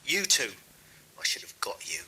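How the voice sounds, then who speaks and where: A man's voice: medium pitch, huge pitch swings, normal speed, bright, forceful tone, in a whisper, full character voice, rough recording. A man playing a trigger-happy, aggressive junior guard in a science fiction audio drama, speaking in clipped phrases. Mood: hostile.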